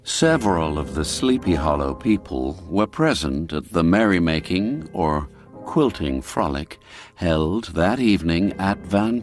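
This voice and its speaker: Slow, expressive male storybook narrator. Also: a bass voice. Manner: measured, expressive storytelling with sweeping rises and falls. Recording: dry studio with faint music.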